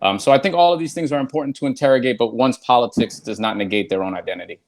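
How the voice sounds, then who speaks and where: A man answering as a panel guest in a measured, formal way, pausing on "uh" between phrases. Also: mid-range voice. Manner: measured, formal vocabulary with "uh" pauses. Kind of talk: academic-sounding panel answer.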